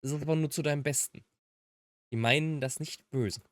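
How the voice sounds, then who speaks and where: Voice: medium pitch, a little fast, dry recording. A German native speaker giving casual gaming commentary, slipping between German and English. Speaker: let's player. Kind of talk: gaming commentary mixed with voiced read-aloud of dialogue.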